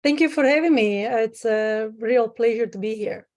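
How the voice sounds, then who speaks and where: A woman from Sicily, Italy, speaks as a guest over a remote call. Her voice sits slightly high. She talks casually and stops often to hunt for words, filling the gaps with ums and uhs. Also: normal speed, darkish tone. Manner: hesitant with many fillers, plain speech. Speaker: guest, private lender. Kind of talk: casual interview.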